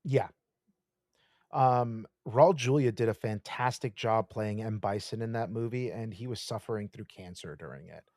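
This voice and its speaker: A man co-hosting a podcast, gushing with animated enthusiasm and swearing often in casual chat. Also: fairly low pitch, moderate pace, no echo, studio quality. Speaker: podcast co-host.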